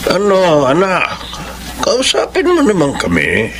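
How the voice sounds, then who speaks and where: Concerned father, middle-aged, animated intonation, Filipino accent, radio drama, noisy ambience behind. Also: sits high, slow pace, full character voice. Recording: clear background noise and ambience.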